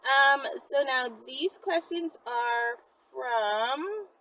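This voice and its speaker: A woman's voice: high voice, animated, drawn-out words, sharp tone, rough recording. A woman talking casually and candidly to her viewers as a vlog host, with blunt, slangy, profane phrasing. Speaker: channel host answering viewers' questions.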